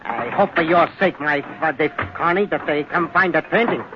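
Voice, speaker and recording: A man's voice: on the high side, lively intonation, moderate pace, over music, lightly acted. A man playing a menacing, imperious villain, speaking stilted, clipped English in a radio adventure. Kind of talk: 1940s-50s radio adventure acting. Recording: dull, hissy old radio recording.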